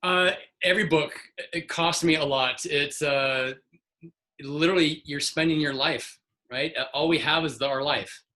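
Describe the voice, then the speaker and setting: A man's voice: a little high, fairly even intonation, moderate pace, bright, forceful tone. A man in his sixties, an author on a video call, talking earnestly and encouraging a young reader. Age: 60s. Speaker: author guest. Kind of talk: casual video call chat.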